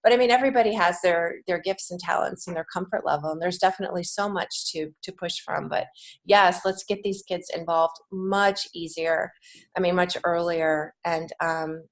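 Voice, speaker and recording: A woman's voice: fairly low pitch, steady tone, normal speed. An American woman giving conversational interview answers as a podcast guest, speaking in an earnest, encouraging way. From United States. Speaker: podcast guest, children's book author and teacher.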